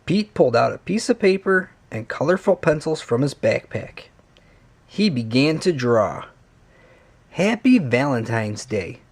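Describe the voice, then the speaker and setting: A man narrating a children's read-along story in an expressive, swooping storytelling manner. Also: a little high, moderate pace.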